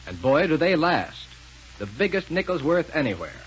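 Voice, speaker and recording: High-pitched male 1930s radio announcer. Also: big pitch swings, normal speed. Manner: folksy showmanship. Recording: muffled 1930s radio recording.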